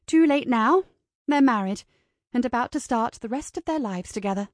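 A woman's voice: a little high, expressive, moderate pace, dry recording, clean studio sound, close to an everyday voice. A woman voicing an earnest, stubborn romantic heroine from a novel, heartfelt and spirited. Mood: exasperated.

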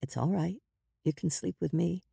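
A woman voicing a protective ten-year-old big sister, speaking gently, softly and patiently in an audiobook. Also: fairly low pitch, lively intonation, moderate pace, gravelly, slightly rough, no echo, studio quality, full character voice. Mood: soothing. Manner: soft, soothing, patient.

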